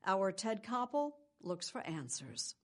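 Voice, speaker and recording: An older woman hosting a morning news program, reading scripted introductions in a measured, polished way. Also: mid-range voice, big pitch swings. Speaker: news show host. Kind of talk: scripted broadcast narration.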